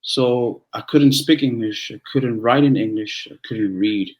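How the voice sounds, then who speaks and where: A young man from Tonga, whose first language is Tongan, speaking as a pastor in a relaxed interview with frequent restarts and fillers. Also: mid-range voice, muffled recording. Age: young adult. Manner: halting, many restarts and fillers. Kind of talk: conversational interview.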